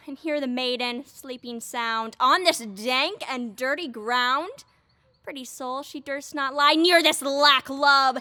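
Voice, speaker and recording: A woman's voice: sits high, pushed and bright, exaggerated voice. A woman playing a mischievous fairy servant in a Shakespearean verse audio drama, theatrical and exclaiming. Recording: music under the voice.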